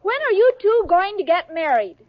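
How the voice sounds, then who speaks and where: A very high, piping voice from a scatterbrained, sweet young roommate, guileless and cheerfully oblivious. It sounds like an old lo-fi radio broadcast with hiss, and a studio audience laughs loudly in the background. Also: female voice, big pitch swings, moderate pace, a crowd in the background. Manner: innocent, literal-minded, cheerfully oblivious. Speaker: lead character in a radio sitcom. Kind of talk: 1940s radio sitcom acting.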